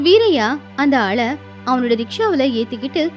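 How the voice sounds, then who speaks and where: A woman's voice: sits high, big pitch swings, normal speed, soft background music. A woman reading scripted storybook narration as the narrator of a tale.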